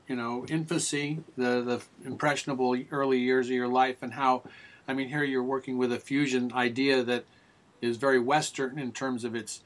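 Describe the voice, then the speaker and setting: A male interviewer for a new-music group who asks long, rambling questions with many restarts over a video call. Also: medium pitch.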